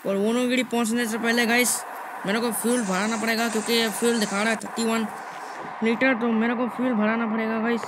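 A man's voice: extremely high pitch, little pitch movement, fairly quick. Indian guy doing casual gaming commentary, repeats himself, english words mixed in, noisy amateur recording. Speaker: gaming video host. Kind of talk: casual live gameplay commentary. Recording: noisy amateur screen recording with ambient sound.

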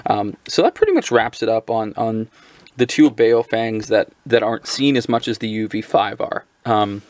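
A man giving a casual, off-the-cuff product overview in a halting, conversational manner. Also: on the low side, expressive, darkish tone, no echo. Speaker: product reviewer. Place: indoors at a tabletop.

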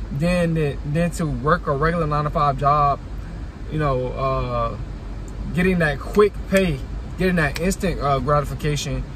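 A young man vlogging from his car, talking casually and repeating "like I said" and "you know what I'm saying" as he rambles on. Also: fairly high pitch. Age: young adult. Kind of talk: casual motivational talk to camera. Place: parked car interior. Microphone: phone camera on dashboard. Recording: car interior with outdoor traffic noise.

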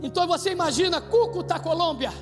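Animated Brazilian pastor in a reverberant hall. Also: male voice, very high-pitched, fast talker. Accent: Brazilian Portuguese. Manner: animated storytelling, acting out voices and shouting. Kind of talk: sermon with live interpretation. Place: church stage with projected screen. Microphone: handheld mic. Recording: long church hall reverb, faint music.

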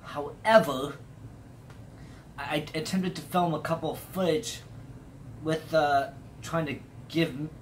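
A man's voice: a little high, fairly even intonation, takes it slowly, long pauses, a little hiss or hum. An American man in his late twenties presenting a personal project vlog in an earnest way. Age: late 20s. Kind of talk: vlog, part scripted narration, part casual presenting to camera.

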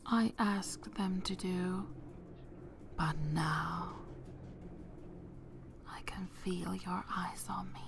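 An ancient vampire mistress played by a woman speaks in a breathy whisper, extremely slowly, with a creaky edge. She is seductive, proud and vengeful, pausing dramatically in an ASMR roleplay over faint background noise. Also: mid-range voice. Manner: slow, deliberate, dramatic pauses.

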